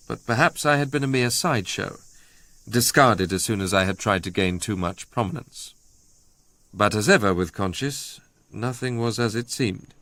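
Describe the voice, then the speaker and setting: An actor as a brooding, low-voiced young Englishman narrating. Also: a bit bright, no echo, close to an everyday voice. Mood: bitter, resigned. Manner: literary, measured storytelling. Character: brooding, proud young Englishman recounting his ordeal. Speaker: first-person narrator. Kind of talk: dramatic radio drama narration.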